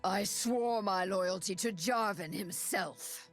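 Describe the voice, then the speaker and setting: A woman's voice: medium pitch, slow pace, music in the background, close to an everyday voice. A woman voicing a proud, fierce half-dragon warrior in a video game, stern, terse and defiant.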